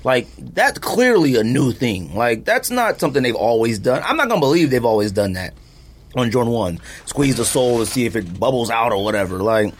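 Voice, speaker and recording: A man hosting a podcast in casual chat, animated and often laughing, his voice leaping high when he is incredulous. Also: brisk. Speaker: podcast host.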